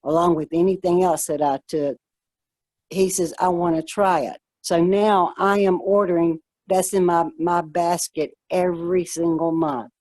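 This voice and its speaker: A woman chatting casually, telling a story in a quick, chatty way. Her voice sits low and sounds dark and mellow, recorded close and dry. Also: steady tone, dry recording. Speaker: guest in a wellness group chat. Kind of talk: casual chat.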